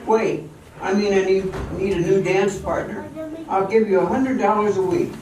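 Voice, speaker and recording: A man's voice: sits high, normal speed, natural voice. An amateur actor playing a bitter, stubborn, proud male dancer who has been jilted and is drinking, in a radio drama. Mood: brash, insistent. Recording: very echoey hall, distant mic, music behind.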